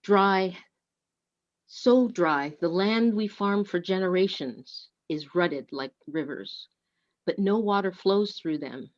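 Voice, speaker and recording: A woman reading a prepared script aloud as a service leader, with deliberate, measured delivery. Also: fairly low pitch. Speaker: worship service co-leader and reader. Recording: dull, lo-fi muffled sound.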